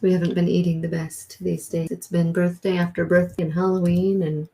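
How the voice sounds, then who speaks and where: Low mellow woman chatting on a stream. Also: level tone, brisk, slightly rough. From Wisconsin. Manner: chatty, reads viewer comments aloud. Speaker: co-host of a livestream. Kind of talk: casual livestream chat, reading comments.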